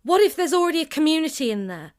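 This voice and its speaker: A high, youthful female survivor acted by a woman in an audio drama. She is hesitant and halting, yet frank and principled, with plenty of questions. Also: big pitch swings. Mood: indignant.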